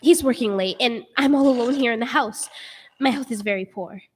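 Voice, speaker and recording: A woman's voice: on the high side, brisk, slight background noise, natural voice. A woman playing an anxious, sickly wife alone at home in a radio play, speaking hesitantly and fretfully on the telephone. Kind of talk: stage radio play acting.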